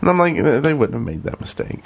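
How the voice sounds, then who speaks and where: A man's voice: mid-range voice, sing-song, quick pace, creaky, breathy edge. A man co-hosting a gaming podcast, talking casually. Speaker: podcast co-host.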